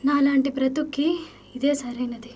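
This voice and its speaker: A woman's voice: high voice, little pitch movement, moderate pace, a little mellow, breathy edge. A woman playing a wounded, despairing noblewoman in a radio drama, plaintive and theatrical. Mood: weary, despairing. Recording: distant from mic, noisy.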